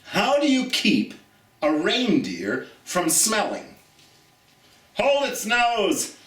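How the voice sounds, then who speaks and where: An American man with a fairly high, very lively voice hosts storytime for children. He speaks theatrically and stretches out his key words. The sound is roomy and echoey. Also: drawn-out words. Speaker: storytime host. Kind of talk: children's storytime, reading and chatting to viewers. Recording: roomy, echoey, distant from the mic.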